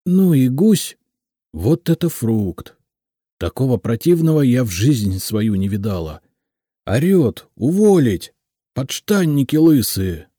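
A man's voice: medium pitch, big pitch swings, measured pace, few pauses, dark tone, no echo, clean studio sound, voice pushed up. A man voicing a spiteful, gossipy female office secretary with an indignant, conspiratorial manner, in a story reading. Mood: outraged gossip.

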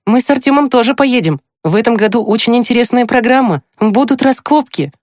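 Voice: mid-range voice, animated, moderate pace, no room sound, clean studio sound, pitched above the performer's own voice, close to an everyday voice. An audiobook narrator voicing a teasing, dramatic teenage girl, the older sister in a children's story. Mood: excited. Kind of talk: acted audiobook dialogue.

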